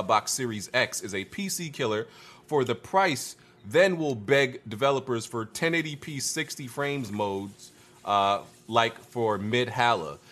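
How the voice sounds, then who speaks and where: A man's voice: medium pitch, sharp tone. A man hosting a casual livestream, reading viewer comments aloud and adding dry, laid-back remarks. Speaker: host reading viewer super chats.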